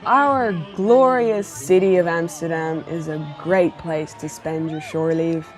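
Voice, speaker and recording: Female host, theatrical scripted presenting, measured pace, light music underneath. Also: fairly low pitch, fairly even intonation. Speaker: show host.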